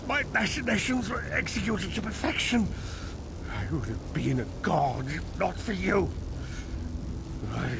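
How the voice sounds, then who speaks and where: An actor playing a defeated, arrogant sorcerer villain in a fantasy game, bitter, tormented and grandiose. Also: male voice, fairly high pitch, creaky, background noise, exaggerated voice. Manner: theatrical, venomous, grandiose. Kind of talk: dramatic fantasy video game acting.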